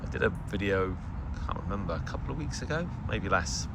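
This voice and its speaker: A man's voice: low-pitched, sing-song, fast talker. A middle-aged man giving a casual, unscripted update, rambling hesitantly into asides. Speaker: radio hobbyist video host. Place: outdoors in a garden. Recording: outdoor ambient noise.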